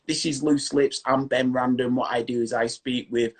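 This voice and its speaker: A man in his thirties with a broad Yorkshire accent and a husky, breathy voice, talking into his phone's front camera in a small room. He hosts a casual live chat, upbeat and effusive, with easy colloquial warmth in his phrasing. His voice sits in an ordinary middle register. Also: medium pitch, fairly even intonation, normal speed. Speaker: host. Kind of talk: casual live-stream interview.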